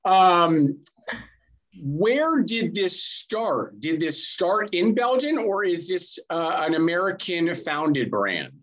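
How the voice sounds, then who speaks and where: A man's voice: sits high, sing-song, slow pace, a bit bright, highs cut off. Rambling, joking podcast host man. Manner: rambling, joking, lots of fillers. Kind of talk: podcast interview.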